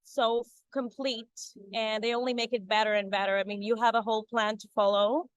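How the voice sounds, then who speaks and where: A woman's voice: fairly high pitch, little pitch movement, normal speed, no echo, dull audio. A woman from Ecuador, giving a chatty testimonial about her coaching business with many fillers and restarts. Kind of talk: casual testimonial interview.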